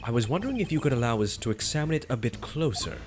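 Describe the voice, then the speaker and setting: A man voicing a stiff, formal male prosecutor in a video game, reading the lines in a measured, formal way. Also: mid-range voice, very animated, background noise, close to an everyday voice.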